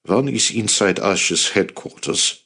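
A man's voice: lowish voice, lively intonation, takes it slowly, vocal fry, bright, forceful tone, breathy edge, full character voice. A man voicing a determined, impassioned male resistance fighter in an audiobook, earnest, blunt and persuasive. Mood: blunt, confident.

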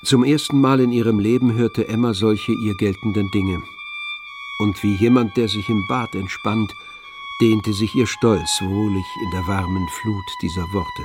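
Guy narrating a literary radio play, lowish voice, measured, faint music around. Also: steady tone.

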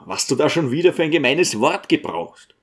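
A man voicing a stern, impatient, pious provincial priest in an audiobook, with a gruff, scolding manner. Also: fairly high pitch, lively intonation, normal speed, lightly acted. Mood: impatient, disapproving.

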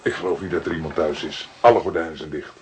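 A man's voice: very deep voice, fairly even intonation, fast. An actor playing an impatient, uneasy junior detective in a crime radio drama, questioning as he talks. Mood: impatient, wary. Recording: noisy, distant from mic, clicks.

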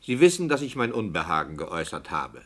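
Casting: a cautious, skeptical director in an old radio play, lowish voice with a lively melody. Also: male voice, fairly quick, exaggerated voice. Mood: wary. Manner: prim, questioning, skeptical. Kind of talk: 1960s radio play acting.

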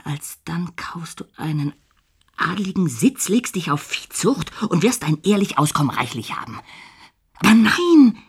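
An actor playing a greedy, excitable young adventurer in a radio play, with a breathy, husky voice. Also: male voice, sits high, unhurried, full character voice. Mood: scheming, then resolute, covetous. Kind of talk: radio play dramatic monologue.

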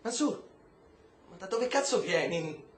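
An actor playing a brash, friendly streetwise prisoner in a radio drama, with slangy, coaxing talk. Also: male voice, sits high, big pitch swings, unhurried. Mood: teasing. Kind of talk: stage-style radio drama acting. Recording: roomy echo, faint music behind.

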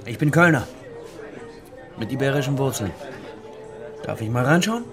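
Lively, teasing male archaeologist played by an actor. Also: medium pitch, normal speed, a crowd in the background, natural voice. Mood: casual. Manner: wry, playful, cryptic. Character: teasing, enigmatic male archaeologist drinking in a trattoria. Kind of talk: conversational radio drama dialogue.

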